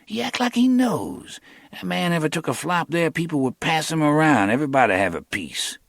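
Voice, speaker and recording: High fast-talking street hustler, clipped, swoopy, dry close sound. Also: male voice, vocal fry, no echo. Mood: animated. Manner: quick clipped street talk, animated storytelling. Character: streetwise young man, quick, clipped, boastful hustler. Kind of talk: audiobook character reading.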